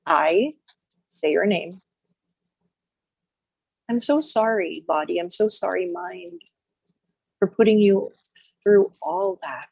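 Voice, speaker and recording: A woman leading a guided healing meditation, speaking slowly and softly in short repeated phrases with long pauses. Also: fairly low pitch, vocal fry, breathy edge, no room sound, poor audio. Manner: slow, soft, repetitive phrases with long pauses.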